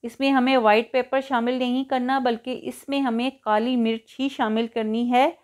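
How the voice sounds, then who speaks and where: A woman presents a cooking tutorial as a voice-over. Her voice sits on the high side. She talks in an instructional manner, taking the listener through the method one step after another. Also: flat delivery, moderate pace. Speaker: cooking show host.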